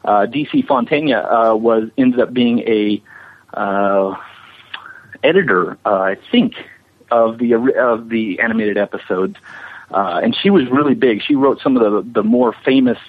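Man, interview guest, rough husky voice, explanatory, modest, casual, dull muffled audio. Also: medium pitch, moderate pace. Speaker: interview guest, special effects animator.

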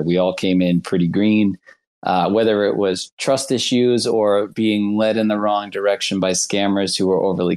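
A man's voice: lowish voice, a little mellow. A man from the United States, a product lead speaking on a panel in an earnest, easygoing way. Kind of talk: casual panel chat.

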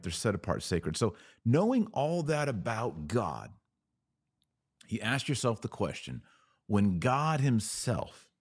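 Male podcast host, low voice with lively swings, emphatic religious explainer, punches key words. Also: no echo, clean studio sound. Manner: emphatic, repeats key words for stress, rhetorical questions.

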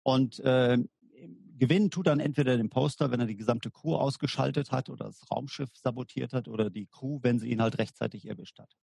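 Interview guest: German man, gravelly and a bit husky, explains in a hesitant way with fillers. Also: medium pitch, fairly quick, darkish tone, dry recording. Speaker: guest, war gaming lecturer. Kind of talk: podcast interview.

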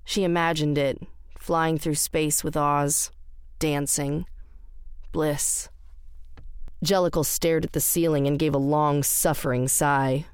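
A woman's voice: sits low, flat delivery, slow, clean tone, dry recording, clean studio sound. A woman reading scripted fiction as the narrator of an audio drama.

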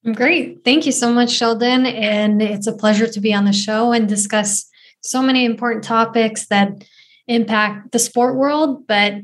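A woman's voice: fairly high pitch, steady tone, measured pace. A woman, giving earnest, thoughtful answers as an interview guest, often saying "you know" and "um". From Canada. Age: 20s. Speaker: guest, footballer and activist.

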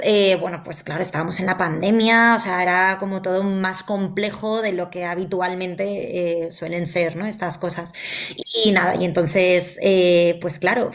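A woman in her thirties from Valencia, telling her story as a podcast guest in a chatty way, full of fillers and tag questions. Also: mid-range voice. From Valencia, Spain. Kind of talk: conversational storytelling.

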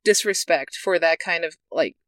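Bright, dry-sounding reflective speaker. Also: mid-range voice, moderate pace, no echo. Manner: reflective, earnest. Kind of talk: casual discussion.

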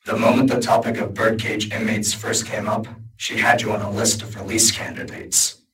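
A man reading a guarded, formal male armored hero in an audiobook, speaking in a measured way. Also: on the low side, little pitch movement, a bit bright, distant mic, lightly acted, poor audio. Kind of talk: audiobook character voice.

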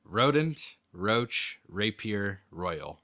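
A man's voice: fairly low pitch, sing-song, very slow, bright, forceful tone. A man co-hosting a podcast, reading quiz questions aloud and chatting casually in an easygoing way. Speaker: podcast co-host, quizmaster.

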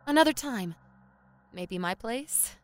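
A woman's voice: fairly high pitch, expressive, slow. A woman playing a guarded, wary young woman in an audio drama, with a terse, reserved manner. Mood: uneasy.

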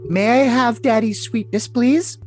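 A man's voice: very high-pitched, a little slow, darkish tone. A man voicing a lovesick, scheming young woman in a cutesy, coy, overly dramatic comic reading of messages. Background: music.

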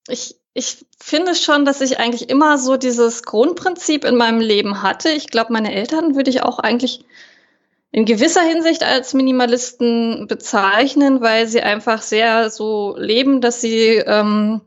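A woman's voice: on the high side, a little slow. A young woman from Germany, a podcast guest chatting casually with many hesitations and fillers. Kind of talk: casual interview chat. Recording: dull lo-fi sound.

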